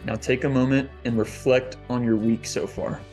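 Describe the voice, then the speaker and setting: A man, a fitness coach, leading a guest's guided meditation on a podcast, slow and deliberate. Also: mid-range voice, little pitch movement, a little mellow, faint music behind.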